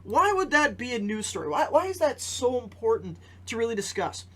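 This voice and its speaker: A man's voice: extremely high pitch, big pitch swings, brisk, a bit bright. A man giving conversational news commentary as a podcast host, posing rhetorical questions and repeating phrases for emphasis. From Canada.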